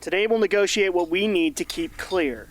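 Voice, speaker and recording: A man's voice: sits high, normal speed, faint music behind, lightly acted. A blunt, pragmatic male mentor acted by a man in a radio play. He gives firm, practical instructions like an older coach to young competitors. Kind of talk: amateur radio drama acting.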